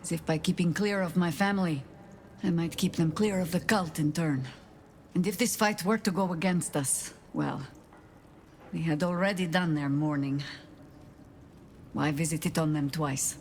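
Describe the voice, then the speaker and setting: A woman playing a proud, wry older spymaster in a game, speaking in a measured, dry, commanding way. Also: deep voice, close to an everyday voice. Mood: regretful, earnest. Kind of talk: dramatic game dialogue. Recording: background noise and ambience.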